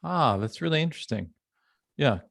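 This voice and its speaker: A man hosting a casual panel conversation, speaking in a halting, thoughtful way. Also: a little high, animated, vocal fry, fairly bright tone, no room sound, clean studio sound. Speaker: podcast host.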